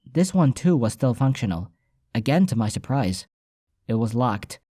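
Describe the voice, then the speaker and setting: A man's voice: medium pitch, very animated, moderate pace, mellow, no echo, clean studio sound. A man reading scripted first-person story narration as an audiobook narrator.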